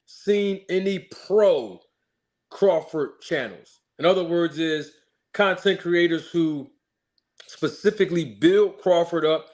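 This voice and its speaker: A male channel host giving an emphatic commentary rant, mocking critics with repeated rhetorical questions. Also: high voice.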